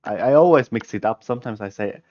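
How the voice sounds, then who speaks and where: A man's voice: medium pitch, animated, dull audio. A young man from Switzerland with a Swiss German accent, a native German speaker, thinking aloud as he streams chess puzzles and chats with viewers through a headset at home. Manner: musing, halting, self-correcting, talks to himself. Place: small room at home, indoors.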